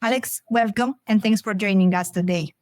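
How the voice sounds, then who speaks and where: A woman's voice: mid-range voice, moderate pace, a little mellow, no echo, studio quality. A woman hosting a podcast, speaking as an interviewer. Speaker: podcast host.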